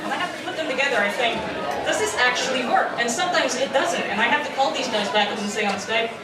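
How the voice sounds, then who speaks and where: Woman talking casually at a panel, roomy echo, lots of background noise. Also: high voice. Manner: conversational, acts out quoted remarks. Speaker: convention panelist, fan-dub writer and editor. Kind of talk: informal panel talk. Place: convention panel room. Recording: distant, echoey, noisy convention room recording.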